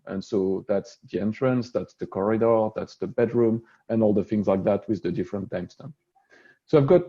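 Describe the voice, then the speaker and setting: A man with a French accent giving a casual, relaxed tech demo over an online meeting, with frequent "uh" fillers. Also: lowish voice, slightly rough, muffled recording. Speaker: solutions architect presenting a demo. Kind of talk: casual screen-share tech presentation.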